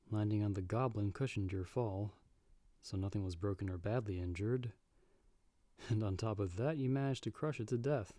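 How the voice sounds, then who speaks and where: Low, dark-voiced calm monster hunter played by a man. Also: dry recording, natural voice. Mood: dry, relieved. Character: calm, seasoned male monster hunter with magic, guiding a partner. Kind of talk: ASMR audio roleplay acting, speaking to the listener.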